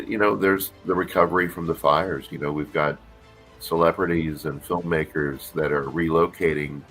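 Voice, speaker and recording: A middle-aged man, an actor guest with a deep voice, talking casually in an interview with lots of fillers and restarts. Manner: relaxed, rambling, many fillers and restarts.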